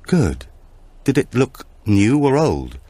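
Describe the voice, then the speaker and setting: Man voicing a brisk, lifted-voice policewoman. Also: medium pitch, big pitch swings, warm tone, dry recording, pitched above the performer's own voice. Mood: businesslike, polite. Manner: businesslike, questioning. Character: brisk, polite policewoman making enquiries.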